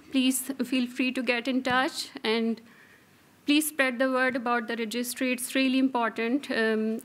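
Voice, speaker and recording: A woman in her thirties presents at a conference podium, her slightly high voice held on a narrow, even line. She talks carefully, pausing often on fillers as she moves through her points. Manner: careful, hesitant delivery with many fillers. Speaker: registry coordinator presenting. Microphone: podium microphone.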